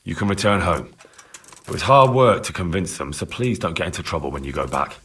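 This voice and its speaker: A man's voice: low-pitched, lively intonation, fairly quick, fairly bright tone, husky, full character voice. An actor playing an earnest, plain-spoken young peasant man-at-arms in a video game, explaining himself respectfully. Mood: reassuring. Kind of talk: video game cutscene acting.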